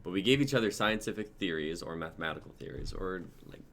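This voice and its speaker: A man's voice: low-pitched, lively intonation, fast talker, a bit bright. A man in his twenties casually hosting a livestream in an informal, hyped, sweary way. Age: 20s. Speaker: host of a livestreamed script-reading show. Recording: noisy home livestream audio.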